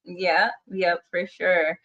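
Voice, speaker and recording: A woman's voice: fairly low pitch, flat delivery, takes it slowly, fairly bright tone, muffled recording. A woman in her thirties with a Guyanese accent, a guest chatting casually in an interview and laughing easily.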